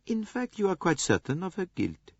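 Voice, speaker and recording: A soft-spoken, self-assured detective as a male narrator plays him, fairly high and very mobile in pitch. He questions with courtly politeness and quiet doubt, each phrase insinuating more than it says, in a storybook mystery. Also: wildly swooping pitch, normal speed, no echo, muffled recording, exaggerated voice. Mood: quietly probing.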